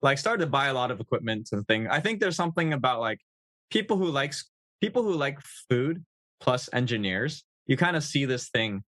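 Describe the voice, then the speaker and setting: A man, a guest on a podcast, chatting in a relaxed, easygoing way with many fillers. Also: medium pitch, normal speed, no echo. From United States. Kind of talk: casual conversational interview.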